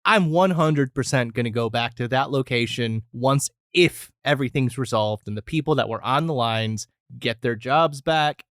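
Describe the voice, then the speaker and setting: A man's voice: mid-range voice, moderate pace, few pauses, a bit bright, no echo, clean studio sound. A man co-hosting a podcast, chatting casually in restaurant-review banter. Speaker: podcast co-host.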